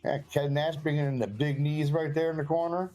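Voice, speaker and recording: A man's voice: fairly high pitch, fairly even intonation, a little fast, warm tone, faint music behind. A man giving casual, slangy and sometimes profane wrestling commentary as a guest co-commentator.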